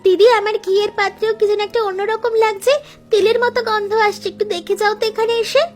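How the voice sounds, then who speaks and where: Cartoon role: a woman playing an eager younger daughter-in-law, very high and fast, over light music. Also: fairly even intonation.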